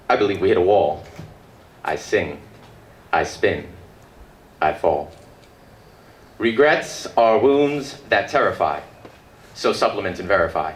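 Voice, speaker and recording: A man performing his own spoken word poetry at a live reading, in a rhythmic, dramatic delivery with deliberate pauses. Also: on the low side, fairly bright tone. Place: indoor book release reading before an audience. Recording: echoey live room with background noise.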